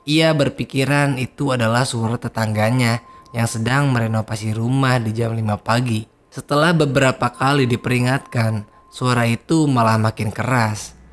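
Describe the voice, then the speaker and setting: Hoarse man recapping horror film. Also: mid-range voice, fairly even intonation, moderate pace, slight background noise. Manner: slangy, chatty, addresses viewers as guys. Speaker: film review host.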